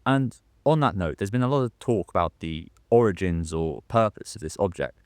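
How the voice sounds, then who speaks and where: Young man acting a halting radio news presenter. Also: low-pitched, lively intonation, dry recording, studio quality. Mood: composed, formal. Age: young adult. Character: polite radio news presenter turning nervous and flustered. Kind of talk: modern radio drama acting.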